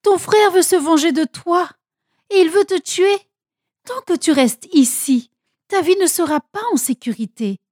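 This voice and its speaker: A woman's voice: fairly high pitch, expressive, a little slow, darkish tone, dry recording, clean studio sound, pitched above the performer's own voice. A woman voicing an anxious, protective mother speaking urgently in a storybook tale. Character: anxious protective mother Rebecca urging her son.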